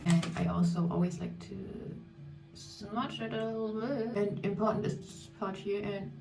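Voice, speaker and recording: A woman's voice: mid-range voice, fairly even intonation, moderate pace, gravelly. A woman in her thirties, a beauty vlogger, chatting candidly while doing her makeup, speaking English as a second language and sometimes searching for words. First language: not English. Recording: echoey room, mic at a distance, faint music.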